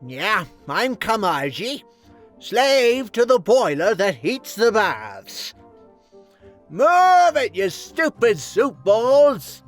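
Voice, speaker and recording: A man's voice: high-pitched, big pitch swings, very slow, soft background music, heavily acted. A man voicing a grumpy, bossy old spider-limbed boiler man who barks irritable orders, in a cartoon style. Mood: irritated, bossy. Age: older. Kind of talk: amateur cartoon voice-acting demo.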